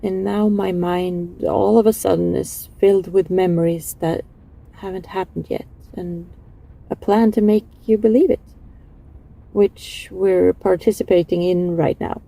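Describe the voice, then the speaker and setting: A woman playing a lovesick, desperately devoted former bully from the future in an intimate audio roleplay, speaking haltingly and emotionally in a soft, husky voice. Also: fairly low pitch, fairly even intonation, low-quality recording. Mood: flustered, earnest. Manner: halting, emotional, breathy sighs and stammers.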